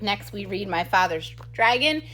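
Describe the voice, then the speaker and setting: A woman's voice: medium pitch, animated, moderate pace, sharp tone, slight background noise. A middle-aged woman, an enthusiastic teacher, giving a casual, giggly book talk to camera. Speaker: second grade teacher presenting book recommendations.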